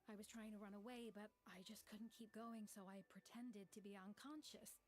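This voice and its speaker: A woman's voice: mid-range voice, steady tone, normal speed, close to an everyday voice. An actress playing an earnest, apologetic young woman adventurer in an anime-style game. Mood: sheepish, apologetic.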